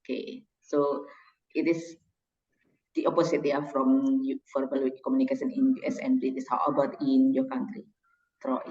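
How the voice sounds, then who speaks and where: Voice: mid-range voice, level tone, normal speed, darkish tone. A lecturer reads lesson notes aloud and stops now and then to correct small slips. The voice sounds far from the microphone, in a roomy space with lots of echo. The recording is dull and lo-fi. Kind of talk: classroom lesson and interview.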